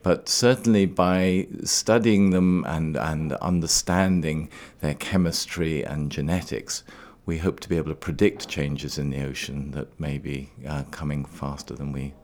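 A middle-aged man from Trinidad who later lived in Scotland, explaining things as an interview guest in a measured, articulate way. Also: a bass voice, fairly even intonation, darkish tone. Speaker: research laboratory director, interview guest.

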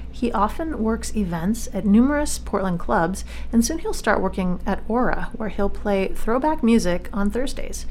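Gravelly-voiced woman radio host. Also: mid-range voice, a little mellow, a little hiss or hum. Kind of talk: casual radio interview.

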